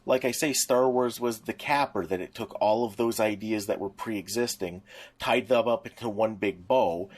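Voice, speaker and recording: A middle-aged man with a slightly low voice chats as a podcast co-host. His delivery is casual and conversational, with a wry way of putting his opinions.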